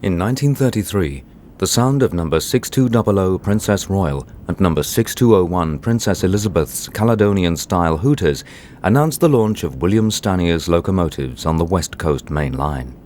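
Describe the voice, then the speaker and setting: A man's voice: low-pitched, expressive, moderate pace, a little mellow, slight background noise. A man reading scripted narration for a documentary.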